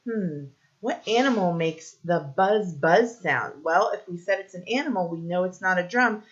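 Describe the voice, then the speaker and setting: A woman teacher leading a read-aloud lesson for young children, asking simple questions, pausing for answers and encouraging them. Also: lowish voice, lively intonation, muffled recording.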